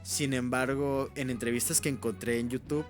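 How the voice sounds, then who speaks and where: A man's voice: mid-range voice, fairly even intonation, normal speed, music in the background. A man reading an informal documentary voice-over, candid and open about what is uncertain. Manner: candid, openly unsure of facts. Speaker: video essay creator and narrator. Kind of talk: informal scripted documentary narration.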